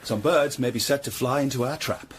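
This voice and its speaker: An actor playing a tough, proud Tudor hired ruffian in a radio drama, with a rough, husky voice and blunt, clipped delivery. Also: male voice, mid-range voice, brisk, a little hiss or hum, lightly acted. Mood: businesslike. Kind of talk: period radio drama acting.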